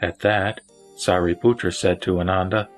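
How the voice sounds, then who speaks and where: A man with a low voice narrates sacred scripture. His delivery is measured and solemn, with faint instrumental music underneath. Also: flat delivery. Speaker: narrator and reciter of a Buddhist sutra.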